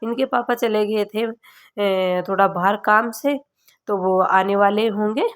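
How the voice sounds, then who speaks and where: Hoarse woman chatting in a home vlog. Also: mid-range voice. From Rajasthan, India. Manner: chatty, halting, many filler sounds. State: hoarse from a cold. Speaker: family vlogger. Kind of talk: casual vlog narration.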